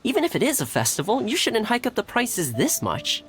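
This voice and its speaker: A firm customer haggling at a festival stall in a game. Her pitch swings very widely as she argues. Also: wildly swooping pitch, brisk, faint music behind. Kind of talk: game dialogue.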